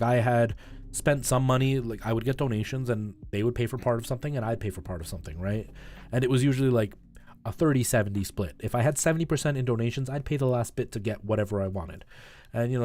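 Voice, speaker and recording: A man's voice: medium pitch, darkish tone. Casual male streamer chatting over music. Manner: conversational, explanatory, often checks in with "right?". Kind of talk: casual chat with stream viewers.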